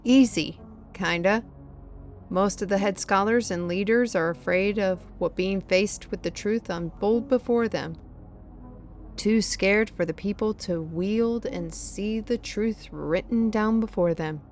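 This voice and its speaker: A knowledgeable female companion from a fantasy audio drama, acted by a woman. She explains things earnestly and at length, in a medium-pitched voice. Soft music sits underneath. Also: a little slow, natural voice. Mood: earnest, explanatory. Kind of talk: fantasy audio roleplay acting.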